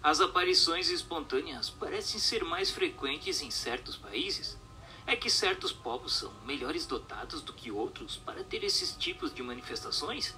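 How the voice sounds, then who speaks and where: A man's voice: fairly high pitch, wildly swooping pitch, moderate pace, pushed and bright, faint music behind, poor audio. A man narrating a scripted, dramatized book reading in a formal, declamatory way, posing questions and reading out commentary.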